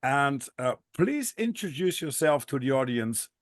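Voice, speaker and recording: A man's voice: medium pitch, sing-song, unhurried, a little hiss or hum. A man hosting a podcast, introducing things in a conversational style. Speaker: podcast host.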